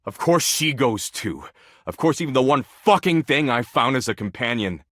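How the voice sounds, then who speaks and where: An actor playing a gloomy, sulky, sarcastic nihilist in a video game, grumbling in a morose deadpan. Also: male voice, medium pitch, normal speed, no echo, clean studio sound. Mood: despairing. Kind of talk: video game character acting.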